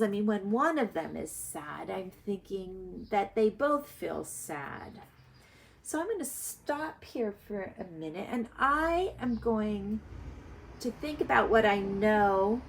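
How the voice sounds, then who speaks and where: A woman teacher reading aloud to young children and explaining her thinking in a deliberate way. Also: mid-range voice, poor audio. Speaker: primary school reading teacher.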